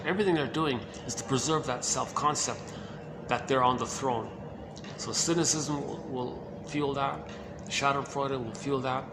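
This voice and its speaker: A man with a low voice giving an informal, rambling talk on psychoanalysis, often saying "okay" and "uh" as he goes. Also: background noise. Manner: rambling, lots of "okay" and "uh" fillers. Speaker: lecturer on psychoanalysis. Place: room in a shared building.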